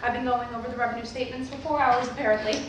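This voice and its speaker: A woman playing a brisk, opinionated middle-aged mother with a businesslike, pushy, chatty way of talking, in stage play dialogue. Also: on the high side, steady tone, natural voice. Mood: brisk, concerned. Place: staged reading in a hall, recorded from afar. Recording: very echoey hall, distant, noisy.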